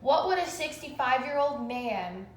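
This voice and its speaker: A woman's voice: high-pitched, lively intonation, measured pace, slight background noise. A woman calling in a complaint over the phone, explaining insistently and in detail. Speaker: caller making a complaint to police. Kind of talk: phone call, recounting a complaint. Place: recorded phone call, echoey. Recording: echoey phone call recording.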